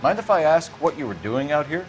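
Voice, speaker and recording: An actor playing a brisk, formal 1940s police detective in a game, businesslike and polite as he questions people. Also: fairly high pitch, animated, slight background noise, close to an everyday voice. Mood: probing, polite.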